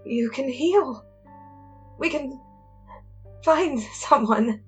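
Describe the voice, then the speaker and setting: Actress as young female fighter, slow husky speech, distressed, compassionate, dramatic fiction, faint music behind. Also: sits high, lively intonation, a little mellow, muffled recording, heavily acted. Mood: desperate, insistent. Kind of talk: dramatic audio fiction acting.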